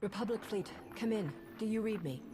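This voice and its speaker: Actress as a direct, self-assured female hero in a game, mid-pitched, with loud music behind. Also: fairly quick, close to an everyday voice. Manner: confident and direct. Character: confident, steady female Republic hero. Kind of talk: video game cutscene acting.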